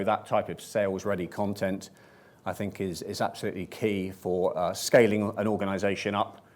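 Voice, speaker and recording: A man speaking on a conference panel as a management consultant, measured and hesitant with many fillers. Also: on the low side. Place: conference room with audience.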